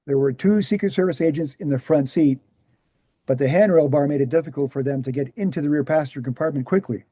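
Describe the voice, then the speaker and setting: Casting: man to play earnest male author-lecturer, very mellow and soft-edged, slightly high pitch, measured explanations, dry close sound. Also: slightly rough, no echo. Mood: earnest, explanatory. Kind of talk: screenplay table read, explanatory lecture.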